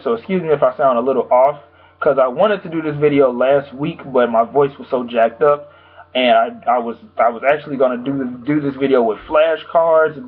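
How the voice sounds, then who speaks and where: A young man, slightly hoarse from a sore throat, giving a rambling, casual album review full of fillers. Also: medium pitch. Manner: rambling, loose, full of "like" and "you know". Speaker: music reviewer. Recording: tinny phone-like sound.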